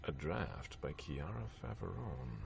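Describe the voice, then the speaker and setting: Very deep, gravelly old vampire. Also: male voice, very animated, exaggerated voice. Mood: cool, intent. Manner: measured, menacing, terse. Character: cold, proud old male vampire, defiant and controlled. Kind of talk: video game cutscene acting.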